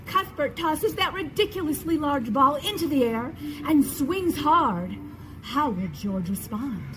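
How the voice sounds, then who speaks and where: Through noisy live ambience, a woman acts an excitable sports commentator in a stage comedy. Her delivery is grandiose and slow, swinging widely in pitch to milk every phrase for suspense. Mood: excited, grandiose. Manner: grandiose, drawn-out, mock-solemn. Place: theatre stage at a festival.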